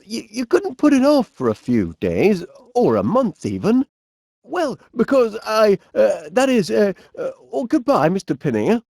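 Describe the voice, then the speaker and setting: A cheerful, middle-aged Northern English inventor, bumbling and polite. His delivery is slow and very swoopy, with quaint exclamations and flustered hesitations. It is a comedy game character, recorded close and dry. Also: high-pitched, darkish tone, dry recording, heavily acted. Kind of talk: animated comedy game voice acting.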